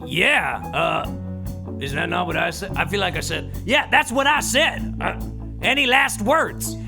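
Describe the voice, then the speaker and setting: A woman playing a pompous alien villain who shouts commands and then gets flustered, on a live theater stage. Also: mid-range voice, lively intonation, a little slow, vocal fry. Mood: menacing. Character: pompous alien villain demanding last words. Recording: guitar music behind a live stage.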